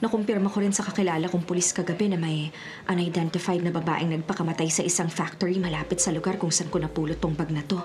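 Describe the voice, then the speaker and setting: A woman's voice: lowish voice, fairly even intonation, quick pace, darkish tone, natural voice. An actress playing a cunning female forger who is posing as someone else, acting and narrating in a Filipino radio drama. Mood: sly, confiding. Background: dramatic music.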